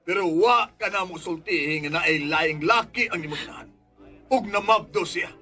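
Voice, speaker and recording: A man's voice: high voice, moderate pace, sharp tone, soft background music. A man acting in a Bisaya radio drama as a resentful, strict middle-aged father, stern and dramatic. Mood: angry outburst. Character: resentful, strict father ashamed of unwanted daughter.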